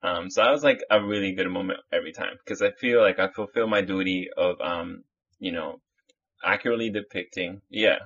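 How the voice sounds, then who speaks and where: A man from Chile chats on a podcast in a very low, husky voice, rambling through restarts and fillers. The audio is muffled and lo-fi, as if from a modest setup. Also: brisk, fairly bright tone. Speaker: podcast guest. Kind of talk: casual podcast chat.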